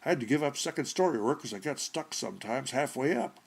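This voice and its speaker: A man voicing a brash, folksy burglar in a short story reading, with gravelly, husky voice and blunt, colloquial delivery. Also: medium pitch, expressive, quick pace, pitched above the performer's own voice. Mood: chummy, complaining. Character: brash, folksy, slangy male burglar with rheumatism.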